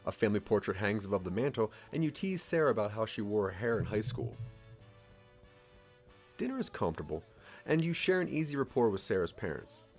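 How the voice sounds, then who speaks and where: A man with a fairly low voice narrates a scripted audio drama. His storytelling is measured and addressed to the listener. Light music runs behind him. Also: very animated.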